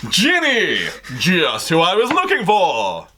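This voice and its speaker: A man voicing an overeager, lovestruck teenage schoolboy from a story, in a gushing, drawn-out, exaggerated way. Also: high voice, wildly swooping pitch, bright, forceful tone, a little hiss or hum, voice pushed up, exaggerated voice. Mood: eager.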